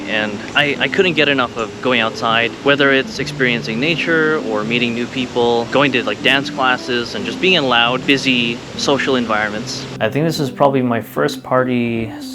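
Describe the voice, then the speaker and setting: A man speaking in a flat, even, low-key tone over steady background music. His voice sits at a middle pitch and comes across as reflective and unhurried. It fits a personal vlog voiceover. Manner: calls own voice super monotone. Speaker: vlogger.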